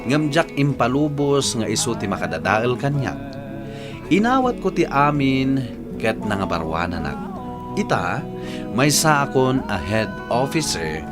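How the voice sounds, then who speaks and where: A reflective letter writer narrating an Ilocano radio drama. His delivery is sincere and expressive at middle pitch, with a Filipino Ilocano accent and music behind. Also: unhurried. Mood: reflective, thankful. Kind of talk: dramatic first-person narration.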